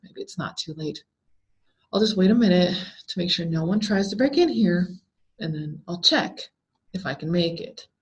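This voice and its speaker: Lady reading as scared grieving woman in a spooky story, hesitant anxious self-talk, lowish voice, dull audio. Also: animated, moderate pace, lightly acted. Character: frightened, grieving woman trapped in a haunted house. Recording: dull, lo-fi sound.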